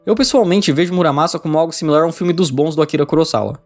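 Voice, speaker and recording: A man's voice: on the high side, racing, soft background music. A man with a Brazilian accent giving scripted narration for a video essay.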